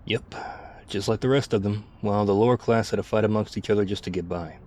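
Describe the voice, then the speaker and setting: Man playing a low-voiced, weary apocalypse survivor. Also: steady tone, fast talker, darkish tone, natural voice. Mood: weary, reflective. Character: weary, loyal, tough zombie apocalypse survivor. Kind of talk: intimate scripted ASMR roleplay.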